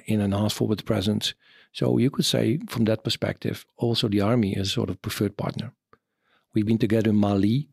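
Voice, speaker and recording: A Dutch man in his fifties, an army officer answering interview questions in English with a Dutch accent, pausing often to search for words. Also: fairly low pitch, creaky, darkish tone, dry recording, clean studio sound. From Netherlands. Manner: halting, searching for words, many fillers.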